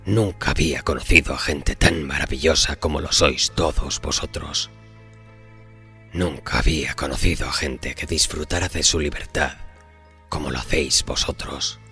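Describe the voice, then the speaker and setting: An actor playing a sly, calmly defiant man who bargained with the devil, in a horror audio drama. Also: very deep voice, normal speed. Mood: cheerful, moved. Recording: faint music behind the voice.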